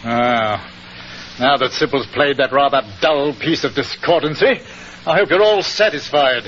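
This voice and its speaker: An actor playing a sneering aristocratic villain, pompous and sarcastic, in 1940s radio drama style. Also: male voice, mid-range voice, measured pace, fairly bright tone, soft background music, lightly acted. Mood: smug mocking. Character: haughty, sneering aristocratic male villain, scheming and contemptuous. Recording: muffled, noisy 1940s radio recording.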